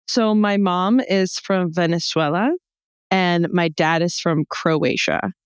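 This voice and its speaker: A young American woman chatting casually as a podcast guest, telling humorous anecdotes in an animated, joking way and acting out bits of dialogue. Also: lowish voice, slow pace, clear, resonant tone, no room sound, studio quality. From Texas, United States. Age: young adult. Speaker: podcast guest, comedy show founder.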